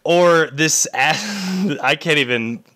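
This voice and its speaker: A man with a notably high voice giving a showy, playful pitch to friends. He paints a picture for effect and keeps it light. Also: lively intonation, slow pace, sharp tone. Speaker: podcast co-host. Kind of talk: casual debate chat.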